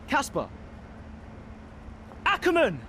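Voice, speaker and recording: An actor playing an indignant, hot-headed young rookie racing driver in a video game cutscene. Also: male voice, extremely high pitch, huge pitch swings, slow, a bit bright, full character voice. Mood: angry confrontation. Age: young adult. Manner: indignant, confrontational. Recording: clear outdoor vehicle noise.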